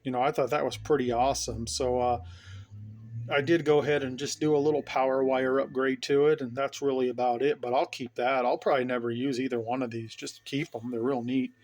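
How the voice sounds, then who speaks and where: A middle-aged man with a medium-pitched voice talks through his gear as a hobbyist. His delivery is informal and rambling, an enthusiast's casual show-and-tell for a vlog. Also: flat delivery, brisk, a little mellow. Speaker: hobbyist vlogger showing his radio gear.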